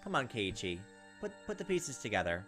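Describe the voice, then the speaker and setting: A man's voice: mid-range voice, very animated, a little fast, over music. A man reading visual novel text aloud as a let's player, dropping in casual asides. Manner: casual asides between read lines. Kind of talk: narrating and commenting over a visual novel.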